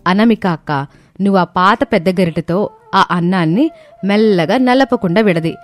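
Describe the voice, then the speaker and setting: A woman's voice: medium pitch, normal speed, soft background music. A woman reading the lines of a family story, voicing the daughters-in-law and the mother-in-law in turn. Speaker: storyteller voicing all characters.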